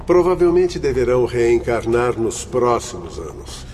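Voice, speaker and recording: A learned doctor guiding apprentices in a radio drama. He has a mid-pitched voice and explains things formally, with composure, over background music. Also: big pitch swings, measured pace, a little mellow. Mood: composed, earnest.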